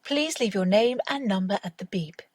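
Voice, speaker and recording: A woman's voice: medium pitch, animated, moderate pace, slight background noise. A woman playing a professional researcher's recorded voicemail greeting in an audio drama, formal and heard as phone playback. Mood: polite, formal.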